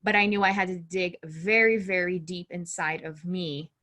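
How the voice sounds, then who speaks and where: A woman in her thirties from Brazil, with Portuguese as her first language, speaks in a fairly low voice. She is a guest talking openly about her life and laughs easily between thoughts. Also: steady tone, moderate pace, bright, forceful tone. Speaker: empowerment coach, guest. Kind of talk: interview conversation.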